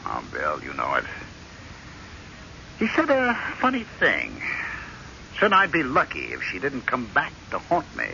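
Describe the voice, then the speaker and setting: Forceful, gravelly, bitter husband. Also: male voice, medium pitch, wildly swooping pitch, unhurried, long pauses, heavily acted. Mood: uneasy. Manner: sardonic, insistent, brooding. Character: bitter, resentful husband, an estranged stepson. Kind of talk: radio drama acting. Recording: muffled old radio recording, faint noise.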